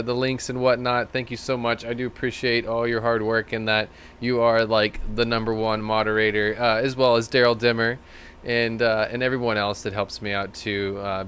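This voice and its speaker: A man with a slightly rough, tired voice hosting a live stream, rambling casually and thanking viewers by name. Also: on the low side, a bit bright, a little hiss or hum. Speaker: livestream host. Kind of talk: casual live-stream chat reading comments.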